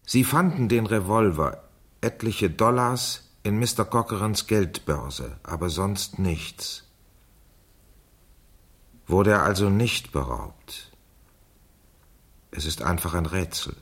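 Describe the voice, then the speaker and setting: An actor playing a man who reads a diary aloud in a radio crime drama, deliberate and precise, with a husky voice. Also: low-pitched, a bit bright, exaggerated voice. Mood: measured, reflective. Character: measured man reading a girl's diary aloud.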